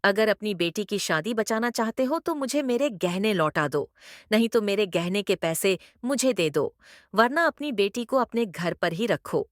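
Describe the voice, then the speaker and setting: A woman's voice: mid-range voice, animated, normal speed, no echo, clean studio sound, close to an everyday voice. A woman voicing a greedy, domineering middle-aged mother-in-law in a cartoon moral story, with an emphatic, theatrical delivery. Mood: haughty, demanding.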